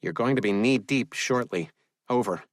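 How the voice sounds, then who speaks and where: A brisk mission controller, voiced by a male audiobook narrator gives clipped, businesslike reports. The sound is very dry and close. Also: medium pitch, dry recording, natural voice. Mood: brisk, alert. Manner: clipped radio procedure.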